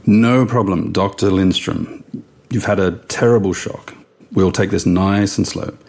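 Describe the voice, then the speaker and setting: An actor playing a calm, courteous police detective in an audio drama, measured and soothing as he asks questions. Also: low-pitched, vocal fry. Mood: courteous, reassuring. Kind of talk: naturalistic audio drama acting.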